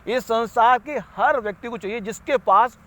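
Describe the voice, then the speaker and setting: High-voiced man giving a spiritual talk. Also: moderate pace, fairly bright tone. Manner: rambling, repetitive, mixes English words. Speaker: spiritual speaker. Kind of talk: unscripted motivational talk.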